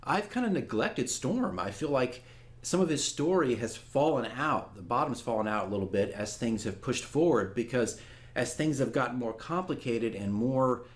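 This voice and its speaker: A man's voice: medium pitch, expressive, moderate pace. A man speaking candidly and casually to viewers as a dungeon master, earnest and self-critical in a conversational way. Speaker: dungeon master giving a campaign diary.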